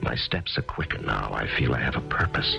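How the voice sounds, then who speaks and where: An actor playing a grieving widower writer in a 1970s radio drama, coaxing in scenes and narrating reflectively in first person. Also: male voice, very deep voice, animated, fairly quick, gravelly, full character voice. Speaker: narrator and lead. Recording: muffled 1970s radio broadcast with music underneath.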